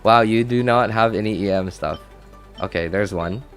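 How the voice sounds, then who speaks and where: A man giving casual, chatty live gameplay commentary as a streamer, thinking out loud and reacting as he goes. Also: on the low side, normal speed, faint music behind. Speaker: game streamer.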